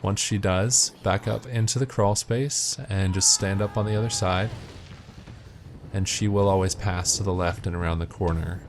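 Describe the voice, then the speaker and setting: A man's voice: deep voice, fairly even intonation, moderate pace, slight background noise. A man giving matter-of-fact, step-by-step instructional commentary as he narrates a video game walkthrough.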